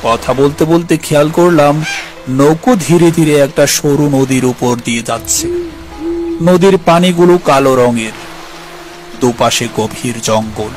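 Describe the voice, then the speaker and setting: A man's voice: a little high, unhurried, darkish tone. Male dramatic story narrator over music. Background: background music.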